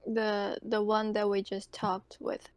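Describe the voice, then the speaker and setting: An unhurried woman on a game-night voice call, close and dry, feeling her way toward each move. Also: mid-range voice, monotone, a little mellow, no echo. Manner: tentative, halting. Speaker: player in a tabletop game. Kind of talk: casual chat. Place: online voice call.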